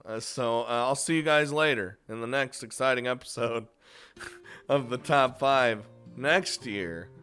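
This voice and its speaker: A man's voice: mid-range voice, a little slow, sharp tone, smooth, faint music behind. A man hosting a casual gaming video, talking informally and swearing freely as he gives his commentary. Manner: informal, chuckling, frequent swearing. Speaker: video game YouTuber hosting a top five list.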